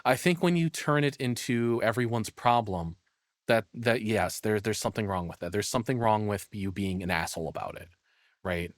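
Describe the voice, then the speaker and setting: A man's voice: fairly low pitch, moderate pace, no echo. Blunt, sweary man reacting, very dry sound. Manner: blunt, profane, opinionated; rambling, thinking aloud. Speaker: streamer reacting to a video. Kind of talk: casual live reaction commentary.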